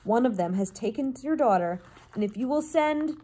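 A woman's voice: a little high, lively intonation, normal speed, warm tone, husky, pitched above the performer's own voice. A small, brave storybook mouse voiced by a woman speaks up earnestly in a lifted voice. He is formal and deferential, yet insistent, pushing his words out to be heard. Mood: urgent. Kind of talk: storybook character voice.

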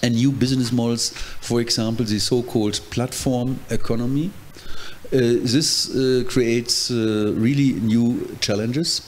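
Panelist, male, 50s, English; halting, earnest, handheld mic in a conference hall. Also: mid-range voice, fairly even intonation, slight background noise. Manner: hesitant, many fillers and restarts, earnest explaining. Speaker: trade union panelist. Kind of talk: panel discussion answer.

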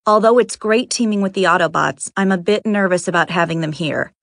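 A woman playing an earnest, cautious scientist reading serious, measured journal entries for a video game. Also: on the low side, gravelly, no room sound, clean studio sound. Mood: uneasy.

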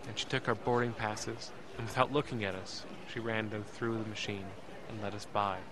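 An actor playing an earnest, emotional young man, narrating an audio drama in the first person with deliberate, dramatic pauses. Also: fairly low pitch, steady tone, a crowd in the background, lightly acted, poor audio. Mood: earnest, relieved. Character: earnest, emotional young man telling his escape story.

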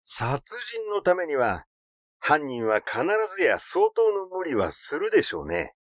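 A man's voice: a little high, huge pitch swings, unhurried, dry recording, clean studio sound. A man voicing a suspicious son who questions politely and insistently in a mystery audiobook. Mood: probing, suspicious. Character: suspicious, persistently probing son of the deceased.

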